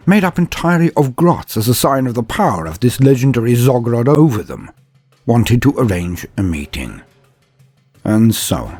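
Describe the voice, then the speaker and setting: Guy telling a funny epic tale, husky, lots of swoops in his voice, quiet music behind. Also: medium pitch, no room sound. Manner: mock-epic, grandiose storyteller drama. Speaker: narrator. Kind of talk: comedic storytelling narration.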